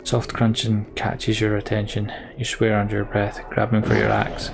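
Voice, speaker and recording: A man's voice: lowish voice, completely flat delivery, normal speed, vocal fry, background noise. A man playing a video game, reading its story aloud dramatically with casual, mildly sweary asides. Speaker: let's player voicing a game's text. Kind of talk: gameplay commentary and dramatic read-aloud.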